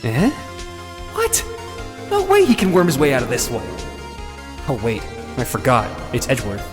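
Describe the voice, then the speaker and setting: A man's voice: mid-range voice, wildly swooping pitch, normal speed. A man acting an earnest, anxious young defence lawyer in a video game drama, emphatic and pleading. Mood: rattled. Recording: music under the voice.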